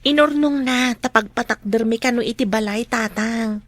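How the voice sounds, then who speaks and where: High-voiced devoted young Filipino wife, acted. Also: female voice, normal speed, no room sound, close to an everyday voice. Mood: hopeful. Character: devoted young wife missing her absent husband. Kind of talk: Ilocano radio drama acting. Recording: studio drama with music underneath.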